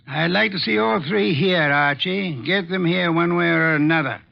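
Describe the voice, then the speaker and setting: A dull vintage radio recording carries an imperious private detective. His voice sits a little high for a man and has plenty of gravel. He questions people formally and deliberately, with shrewd precision. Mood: measured, probing. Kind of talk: 1940s-50s radio detective drama acting.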